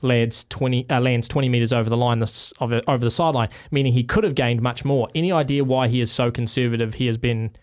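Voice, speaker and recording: A man's voice: medium pitch, steady tone, fast talker, hardly any pauses. A man with a New Zealand accent hosting a sports radio show in casual, bantering style.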